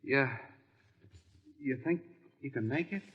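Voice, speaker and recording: An earnest, wary young American prisoner in a vintage radio drama. The voice is medium in pitch and dramatic. The recording is dull and echoes at length. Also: male voice, takes it slowly, breathy edge. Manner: earnest, tense dramatic delivery. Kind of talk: 1940s radio acting. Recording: muffled old radio recording, long hollow reverb.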